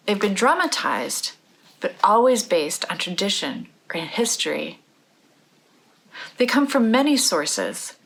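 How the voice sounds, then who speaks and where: A woman reading her own book aloud as a children's read-aloud, in deliberate, measured phrases. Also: medium pitch, a bit bright.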